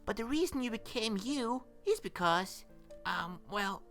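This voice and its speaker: Young boy acted by a woman, audio drama, bright tone, lively intonation, earnest, hesitant, conversational. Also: a little high, measured pace, faint music behind. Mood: heartfelt, faltering. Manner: hesitant, earnest, conversational with small nervous laughs. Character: earnest young boy missing his monster companion. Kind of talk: audio drama monologue, spoken as a message.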